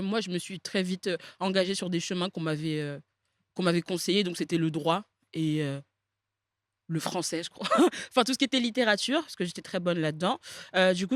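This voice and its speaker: A woman's voice: fairly low pitch, no room sound. Young woman chatting on a dry mic. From France. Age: 20s. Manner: relaxed, many fillers, laughs easily. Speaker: guest. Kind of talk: casual podcast chat.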